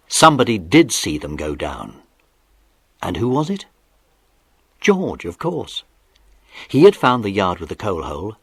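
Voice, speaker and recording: A man narrating a children's story in an expressive, dramatic scripted style. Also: medium pitch, slightly rough, no echo.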